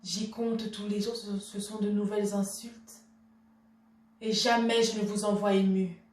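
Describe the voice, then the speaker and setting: A woman's voice: medium pitch, level tone, unhurried, darkish tone, natural voice. An actress playing a proud, outraged Renaissance noblewoman in a theatrical monologue, imperious and declamatory in her formal address. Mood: cold, menacing. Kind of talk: theatrical classical stage monologue. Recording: echoey room, distant microphone.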